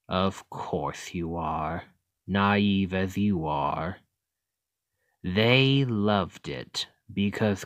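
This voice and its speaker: Demon king villain for ASMR roleplay, male actor: low voice, very unhurried delivery, condescending, taunting, persuasive. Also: dry recording. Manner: slow, deliberate, condescending, taunting. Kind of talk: ASMR roleplay, scripted acting addressed to listener.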